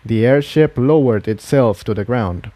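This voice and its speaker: A man's voice: medium pitch, unhurried, dark tone, dry recording. A man reading scripted fiction aloud as the narrator of a fantasy adventure.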